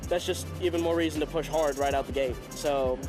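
A man's voice: fairly high pitch, steady tone, fairly quick. Enthusiastic twenties male race driver, interview answers, music under the voice. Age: 20s. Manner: upbeat, enthusiastic. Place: outdoors in a race paddock garage. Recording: loud music bed underneath. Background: loud background music.

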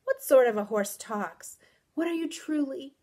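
Lady doing a stubborn young storybook girl, pitched up high, really emotional and exclaiming. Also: lively intonation, measured pace, darkish tone. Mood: frightened, desperate. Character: headstrong young girl, scared and defiant storybook heroine.

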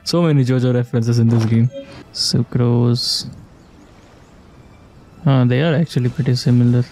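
Male gamer, casual reactive commentary, mid-pitched and mellow, thinking out loud over game music. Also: fairly even intonation, moderate pace, poor audio. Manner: musing aloud, short reactive remarks. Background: video game music.

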